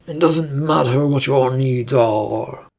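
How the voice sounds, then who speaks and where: A performer voicing a large, stern, gruff orc captain loyal to his prince, in a fantasy story. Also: mid-range voice, darkish tone. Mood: gruff, dismissive. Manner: slow, stern, confrontational.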